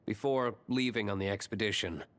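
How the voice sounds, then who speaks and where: A man's voice: on the low side, brisk, a bit bright, natural voice. An actor playing a brooding, reserved man in a video game, terse, serious and dryly dismissive. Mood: guarded. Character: brooding, reserved man, tavern vigilante, guarded and dry.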